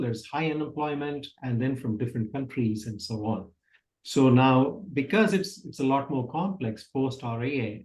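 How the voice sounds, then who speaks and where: A man's voice: mid-range voice, darkish tone, rough recording. A man speaking as a guest in a webinar, laying out a complex explanation step by step over a video call. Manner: explanatory, walks through steps. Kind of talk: webinar discussion.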